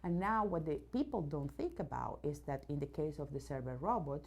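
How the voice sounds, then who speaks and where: Woman, from Venezuela, English speaker, low voice, hesitant restarts, enthusiastic, CEO interviewee. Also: lively intonation, brisk, creaky. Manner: many fillers and restarts, searching for words; enthusiastic about her subject. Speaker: robotics company CEO, guest. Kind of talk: news interview.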